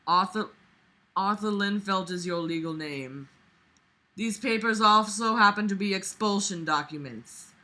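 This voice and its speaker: A woman's voice: medium pitch, unhurried, pushed and bright. A woman voicing a stern, dismissive male school principal from a story, accusing and matter-of-fact.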